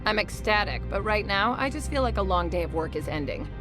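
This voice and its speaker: A woman's voice: medium pitch, expressive, fairly quick, music in the background, close to an everyday voice. A woman playing a tough, businesslike internal affairs investigator with a brusque, wry manner in a video game. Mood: relieved, wry. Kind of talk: video game dialogue acting.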